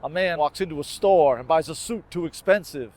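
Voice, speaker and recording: High-voiced man performing spoken word poetry. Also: a little fast, a little mellow. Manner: rhythmic, emphatic, earnest delivery.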